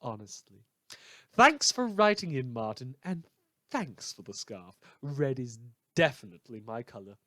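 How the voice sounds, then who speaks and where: A man's voice: medium pitch, sing-song, unhurried, a bit bright, no echo. A man playing a sardonic villain who hosts a post-apocalyptic survivalist radio show, with a theatrical, sarcastic delivery. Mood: wry, scornful. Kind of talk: fiction podcast as in-character radio monologue.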